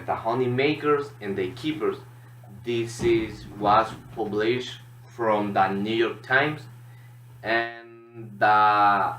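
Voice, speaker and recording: A man from Honduras with a Honduran Spanish accent gives a student presentation in halting, non-native English. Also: on the low side, a bit bright. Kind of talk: classroom presentation. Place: echoey room. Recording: echoey room, distant mic, background noise.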